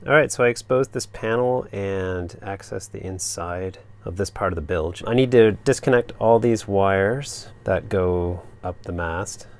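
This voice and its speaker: A man in his thirties, a sailing vlogger, explaining boat maintenance step by step in a practical, matter-of-fact way. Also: deep voice. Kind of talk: casual how-to narration. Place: on a sailboat at a marina.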